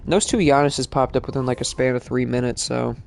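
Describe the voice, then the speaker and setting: A man's voice: mid-range voice, steady tone, fairly quick, gravelly, a little mellow, a little hiss or hum. A male streamer chatting casually while playing, sounding congested from allergies and rambling with lots of fillers. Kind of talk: live-stream gaming chat.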